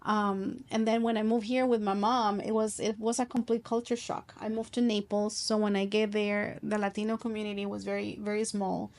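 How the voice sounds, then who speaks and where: A middle-aged woman from Colombia speaks as a guest on an interview podcast. She talks in an earnest, reflective way, often restarting her sentences as she thinks. Her voice sits at an ordinary mid pitch. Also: flat delivery, normal speed, hardly any pauses, a little mellow.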